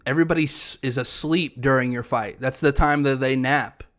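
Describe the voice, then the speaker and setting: A man's voice: mid-range voice, moderate pace, fairly bright tone. Casual man bantering about sports picks. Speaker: co-host of an MMA podcast. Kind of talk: casual sports chat, fight predictions.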